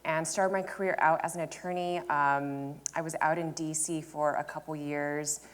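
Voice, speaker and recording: A woman with a low-pitched voice speaks on a panel at an indoor event. She tells her story in a candid, informal way, quoting her own thoughts as she goes, picked up by a lapel mic. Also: level tone, moderate pace, smooth. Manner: informal, peppered with "like" and self-quotation. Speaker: startup founder on a panel. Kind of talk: candid conversational storytelling on stage. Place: indoor event stage before an audience.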